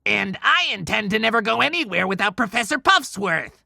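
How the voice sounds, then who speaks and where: An actor playing an excitable, stubborn, wisecracking sidekick of Santa's in an audiobook drama, with a creaky, bright voice. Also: mid-range voice, normal speed, no room sound, clean studio sound, full character voice. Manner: sarcastic, animated, mouthy. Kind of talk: cinematic audiobook character acting.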